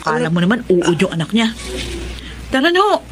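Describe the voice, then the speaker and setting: A woman playing an impatient, embarrassed young student who is sharp with her father, in Filipino radio drama. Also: mid-range voice, sing-song, moderate pace, natural voice. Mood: irritated, embarrassed. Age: young adult. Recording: light background ambience noise.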